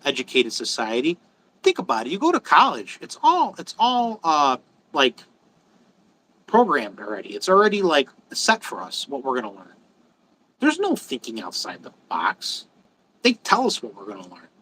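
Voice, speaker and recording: A man's voice: medium pitch, pushed and bright, poor audio. A man talking casually and bluntly to viewers as a livestream host, rambling through opinions, repeating phrases for stress and often swearing.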